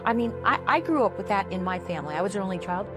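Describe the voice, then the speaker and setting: A woman's voice: medium pitch, animated, very fast, over music. A middle-aged or older woman hosting a casual interview, encouraging and affirming her guest. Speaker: interview host.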